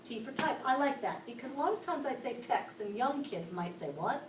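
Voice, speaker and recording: A woman from Pittsburgh teaches a class, walking through each step and putting questions to the children. Her voice sits a little high. She is picked up from across an echoey classroom with steady background noise. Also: fairly quick, recorded from a distance. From Pittsburgh, Pennsylvania. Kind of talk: classroom instruction. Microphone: camera across the room.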